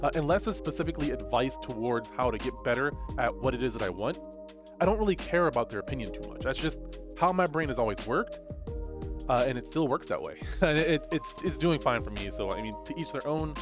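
A man's voice: medium pitch, lively intonation, brisk, over music. A man with a stuffy nose and a slightly nasal voice, musing in casual commentary to his viewers with frequent fillers and restarts. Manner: thoughtful, rambling, with frequent fillers. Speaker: fighting game commentator and content creator. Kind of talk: casual commentary talking to camera.

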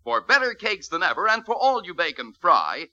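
Male vintage ad announcer: high, swooping, very bright delivery on old radio. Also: sing-song, normal speed. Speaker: commercial announcer. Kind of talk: 1940s radio commercial pitch. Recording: dull vintage radio recording.

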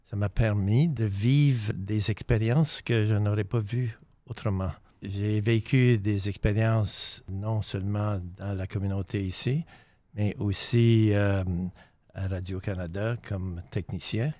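An older man from British Columbia speaking in a deliberate, proud, drawn-out way in a podcast chat. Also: fairly low pitch, steady tone, mellow, dry recording. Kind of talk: casual chat.

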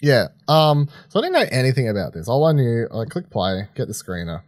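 A man's voice: medium pitch, wildly swooping pitch, brisk, dry recording, studio quality. A man co-hosting a podcast, giving a casual, rambling review full of filler phrases like "sort of". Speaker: podcast co-host reviewing films.

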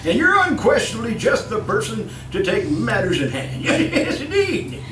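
An actor playing a shifty, fussy employer with sinister secrets in old-time radio style, stammering, chuckling nervously and repeating himself. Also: male voice, very high-pitched, huge pitch swings, normal speed, exaggerated voice. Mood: unctuous, chuckling. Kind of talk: old-time radio acting before a live audience. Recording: distant, echoey live stage with music.

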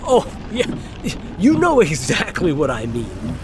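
An actor playing a young delivery driver, a nervous, halting, chatty man on a phone call in an audio drama. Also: a little high, huge pitch swings. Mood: incredulous, jittery. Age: young adult. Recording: clear vehicle and outdoor ambience noise.

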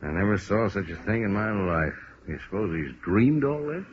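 Deep-voiced, weary police lieutenant. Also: male voice, very animated, moderate pace. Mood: grim. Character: weary, blunt middle-aged police lieutenant. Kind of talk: 1950s radio drama acting. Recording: dull old radio recording, faint noise.

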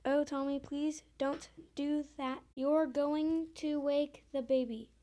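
A woman's voice: high voice, steady tone, slow, mellow. A female performer playing a polite, pleading young mother in an amateur comic radio play. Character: polite young mother, weary and increasingly exasperated.

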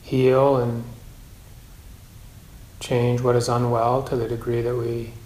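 A man teaching a guided meditation in a measured, gentle way, with long pauses between phrases. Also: medium pitch, level tone. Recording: roomy, echoing space.

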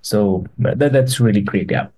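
A man's voice: on the low side, normal speed, mellow. A man hosting a podcast interview, speaking hesitantly with many fillers and restarts. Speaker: podcast host.

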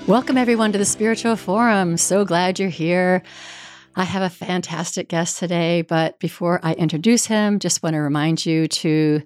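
A woman's voice: fairly low pitch, steady tone, normal speed, soft background music. A woman hosting a spiritual podcast, introducing and questioning her guest enthusiastically. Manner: enthusiastic, warm, effusive. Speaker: podcast host, reverend. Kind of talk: podcast interview.

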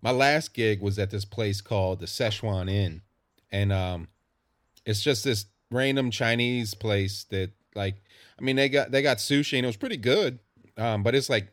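A man, a musician guest, telling stories in an easygoing, casual way with drawn-out fillers. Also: fairly low pitch, dry recording, studio quality. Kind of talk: casual interview chat.

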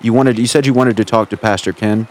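Guy hosting, kinda low voice, stumbles over his words a lot. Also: fairly even intonation. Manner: hesitant, halting, full of fillers. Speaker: host. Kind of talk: casual chat.

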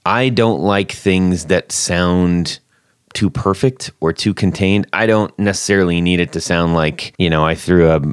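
A man hosting a podcast interview, chatting casually and loosely, often thinking aloud in halting phrases. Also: low-pitched, clean tone, no room sound, studio quality. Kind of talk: casual chat.

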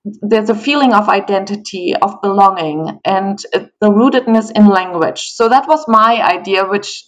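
A middle-aged woman from Germany with a German accent and German as her native language, a guest in a conversational interview, speaking in a measured, storytelling way. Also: mid-range voice, low-quality recording.

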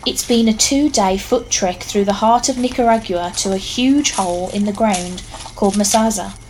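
A woman's voice: mid-range voice, moderate pace, a little mellow, slight background noise, low-quality recording. A woman narrating a scripted story in a wry, conversational way with knowing asides.

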